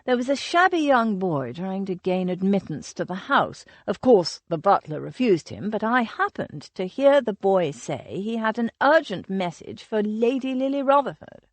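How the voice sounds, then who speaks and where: Victor Nixon, a woman voicing a suave flirting gentleman. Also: high-pitched, very animated, moderate pace, few pauses, no echo. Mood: smooth. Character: flirtatious Regency gentleman visiting London.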